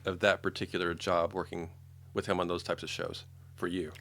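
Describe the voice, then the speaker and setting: A man's voice: deep voice, little pitch movement, a little fast, vocal fry, a bit bright. A man hosting a casual podcast interview, asking plain questions and putting in short words of agreement.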